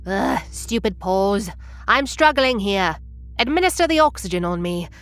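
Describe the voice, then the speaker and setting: A woman's voice: mid-range voice, animated, measured pace, natural voice. A woman playing a curt tiny ship captain. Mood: brusque. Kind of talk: audio drama acting.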